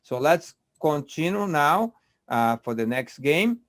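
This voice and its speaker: A man moderating an online biochemistry quiz on a video call, speaking in a measured way with frequent fillers. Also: fairly high pitch, very animated, fairly bright tone.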